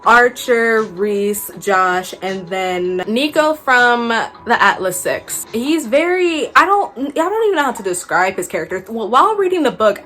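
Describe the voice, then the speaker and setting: A woman's voice: on the high side, pushed and bright. A young adult woman chatting casually as a book vlogger, gushing and slangy, repeating words for emphasis. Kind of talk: casual chat to camera. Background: background music.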